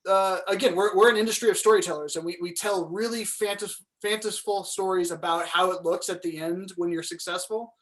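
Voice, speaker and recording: Guy, pretty high voice, casual pep talk on a panel call, emphatic. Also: fairly even intonation, brisk, hardly any pauses, a bit bright. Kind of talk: casual motivational career advice. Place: indoors, video call.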